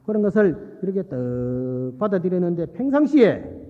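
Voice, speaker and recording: Male monk delivering a folksy sermon, slightly high-pitched voice, long hall reverb. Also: big pitch swings, normal speed, dark tone. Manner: folksy, repeats phrases for emphasis, checks in with listeners. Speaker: Buddhist monk giving a dharma talk. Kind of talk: Buddhist sermon with storytelling. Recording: muffled phone-like sound in long-reverb hall.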